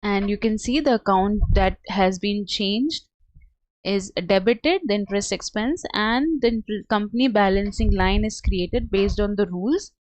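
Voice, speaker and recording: A woman narrating a step-by-step software tutorial as a trainer, with a halting, hesitant delivery. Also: medium pitch, dry recording, low-quality recording.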